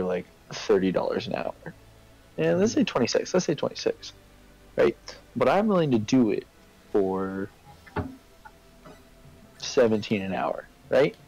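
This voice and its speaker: A man's voice: mid-range voice, gravelly, warm tone, muffled recording. A man chatting casually on a game stream, explaining things in a rambling way and checking in with the listener. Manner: rambling, explains with hypotheticals and tag questions. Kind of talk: casual chat during a game livestream.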